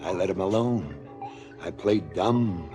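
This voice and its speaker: An actor playing an embittered, maimed police chief, brooding and theatrical in a radio drama monologue. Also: male voice, low-pitched, animated. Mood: coldly triumphant. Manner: deliberate, brooding, theatrical storytelling. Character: embittered, maimed middle-aged police chief, coldly vengeful. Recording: music under the voice. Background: music.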